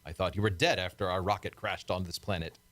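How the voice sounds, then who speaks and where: A man's voice: low-pitched, steady tone, quick pace, a bit bright, dry recording, clean studio sound. A man playing a brave young Earthman hero, earnest and gallant, in radio adventure serial style.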